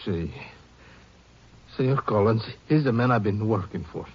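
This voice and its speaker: An actor in a 1950s radio drama playing a stubborn, frightened Mexican courier with an accent and broken, evasive English. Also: male voice, fairly low pitch, expressive, moderate pace, a little mellow. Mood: alarmed, pleading. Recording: muffled old 1950s radio recording.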